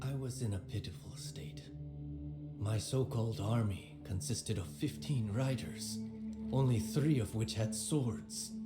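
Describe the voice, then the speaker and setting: An actor playing an aging, proud conqueror who tells his life story in a measured, theatrical, wry way, as dramatic game narration. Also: mid-range voice, natural voice. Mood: rueful, tender. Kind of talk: dramatic scripted narration of a memoir. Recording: roomy voice over background music. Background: music.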